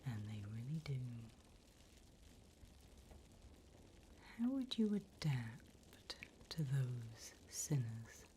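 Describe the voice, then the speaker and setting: A woman playing a wickedly amused, businesslike female demon interviewer in a breathy, whispered ASMR roleplay. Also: very deep voice, sing-song, slow, warm tone, slight background noise, full character voice.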